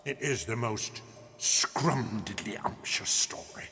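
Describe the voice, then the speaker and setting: An actor playing a gentle, timid old giant in an audiobook drama, speaking earnestly in muddled, homely grammar. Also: male voice, on the low side, takes it slowly, big-room sound, heavily acted. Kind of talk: full-cast audiobook dramatization.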